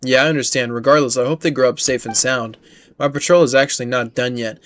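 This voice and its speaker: A man's voice: mid-range voice, fairly even intonation, fast talker, a bit bright, pitched above the performer's own voice, close to an everyday voice. A man reading game dialogue as a caring, worried young patrol woman, earnest and polite. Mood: worried, requesting.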